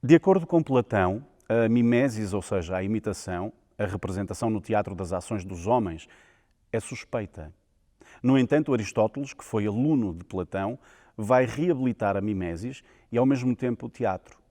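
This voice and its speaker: A man's voice: on the low side, animated, moderate pace, mellow. A man with a European Portuguese accent reading a scripted educational narration. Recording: long hall reverb.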